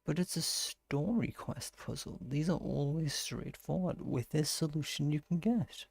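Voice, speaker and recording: A man's voice: a little high, a little slow, gravelly, a little mellow, breathy edge, no room sound. A man giving casual live gameplay commentary, thinking aloud and exclaiming to himself as he plays. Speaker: gaming streamer playing and commenting.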